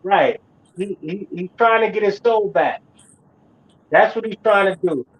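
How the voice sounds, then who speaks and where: High-voiced man in his forties musing. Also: measured pace, husky, low-quality recording. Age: 40s. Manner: preachy, repeats phrases for emphasis. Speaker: host. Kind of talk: casual chat.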